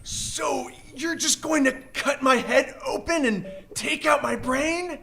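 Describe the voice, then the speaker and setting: Indignant frightened victim, acted by a man, bright strained high voice, exclamatory, radio drama. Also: takes it slowly, heavily acted. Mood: alarmed, indignant. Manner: anxious, exclamatory. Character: frightened, indignant captive hotel guest, husband. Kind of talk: live stage radio drama acting. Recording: live stage recording with faint noise.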